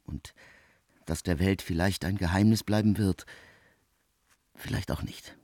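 An actor in a German radio play, playing a cool, probing, formal man with husky, deliberate, ornate and ironic diction. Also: sits low, steady tone, dark tone, dry recording. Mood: solemn urging. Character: cool, probing, formal man asking a friend to woo for him.

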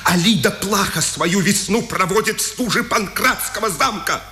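A man's voice: sits high, normal speed, sharp tone. An actor playing an imprisoned resistance man facing execution, theatrical, poetic and intense, in a Soviet radio drama of the 1950s. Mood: impassioned, reflective. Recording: vintage radio recording with hiss.

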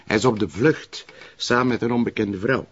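Husky Flemish police sergeant. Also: male voice, on the low side, normal speed. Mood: businesslike. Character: deferential, plain-spoken male police sergeant, slightly puzzled. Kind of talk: audio drama acting. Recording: dull, muffled lo-fi recording.

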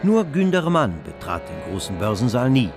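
A man's voice: mid-range voice, big pitch swings, normal speed. A man narrating a historical radio drama in a composed, scripted storytelling style. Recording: music under the narration.

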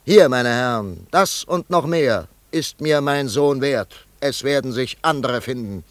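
An actor playing a wealthy, grieving older father in a German radio play, brooding and yearning in a halting monologue. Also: mid-range voice, animated, no room sound, lightly acted. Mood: defiant, aggrieved. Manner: slow, halting, brooding delivery with sudden swells.

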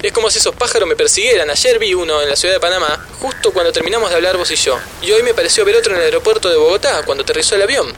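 A man's voice: high voice, rapid-fire, hardly any pauses, background noise, lightly acted. A man with an Argentine accent acting a bemused, polite traveller in a radio drama. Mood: friendly.

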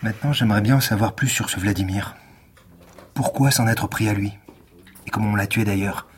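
An actor playing a grumpy, skeptical police detective with a dry, sardonic delivery in a naturalistic audio drama. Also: male voice, on the low side, brisk, faint music behind, lightly acted. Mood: weary, puzzled. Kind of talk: naturalistic French audio fiction acting.

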